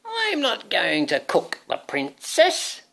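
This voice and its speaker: A man voicing a squealing, excitable witch who is bossy and cheery, in a storybook reading. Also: high voice, takes it slowly, creaky, slight background noise, pitched above the performer's own voice, full character voice. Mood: excited, insistent. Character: squealing, cackling old-style witch, bossy but cheery party planner.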